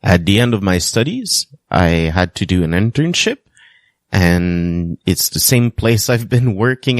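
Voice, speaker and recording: Slow, lively man. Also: low-pitched, dry recording, studio quality. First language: French. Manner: earnest, self-deprecating, light humor. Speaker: podcast host. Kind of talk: personal storytelling monologue.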